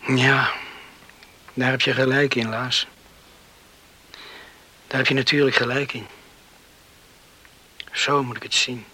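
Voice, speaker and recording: An actor playing a troubled young farm man in a Dutch radio play, pensive and halting. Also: medium pitch, fairly even intonation, sharp tone, slightly rough, exaggerated voice. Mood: pensive, subdued.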